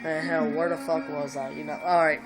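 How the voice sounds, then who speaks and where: Man, tipsy, stoned, profane, rambling, loud music in the room. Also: a little high, flat delivery, brisk. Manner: profane, meandering, tipsy storytelling. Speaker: vlogger. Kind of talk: rambling casual chat. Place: at home indoors.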